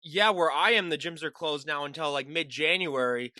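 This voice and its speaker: A man's voice: a little high, moderate pace, sharp tone, no room sound. A young man from Minnesota hosting a podcast, chatting casually and rambling with many restarts. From Minnesota, USA. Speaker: podcast host. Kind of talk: casual interview chat.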